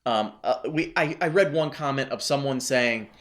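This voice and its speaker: A man's voice: mid-range voice, normal speed, fairly bright tone. A man co-hosting a casual TV review chat, enthusiastic and rambling, with lots of ums and restarts. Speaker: co-host of a TV review show.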